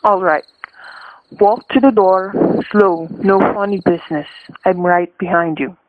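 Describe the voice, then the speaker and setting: A man's voice: high voice, steady tone, a little slow. An actor playing a cocky criminal gunman in a radio thriller, talking in clipped, sneering threats. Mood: cold threat. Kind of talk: vintage radio thriller acting. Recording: tinny, noisy old radio recording.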